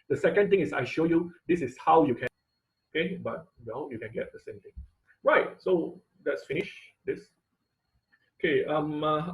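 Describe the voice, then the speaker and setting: Male instructor, slightly high voice, informal walkthrough delivery, halting and repetitive. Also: rough recording. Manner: halting, repetitive, often checking with "okay". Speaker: instructor of an online class. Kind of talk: informal live tutorial with step-by-step demonstration. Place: online video class.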